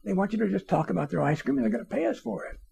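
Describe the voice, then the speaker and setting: A man playing an excitable radio station boss in an anecdote, amazed and eager, with emphatic exclamations. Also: high voice, very fast, gravelly, voice pushed up, heavily acted.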